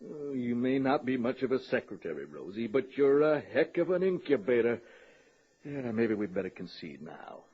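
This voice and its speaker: A man's voice: mid-range voice, sing-song, normal speed, breathy edge. An actor playing an earnest, wry, exasperated male campaign organiser in a radio comedy. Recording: dull lo-fi old radio recording.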